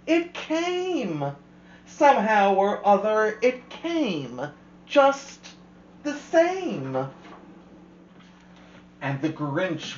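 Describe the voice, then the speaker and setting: A woman host reading a children's rhyming picture book aloud with expressive, rhythmic delivery. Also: mid-range voice, echoey room, dull audio. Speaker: storyteller host.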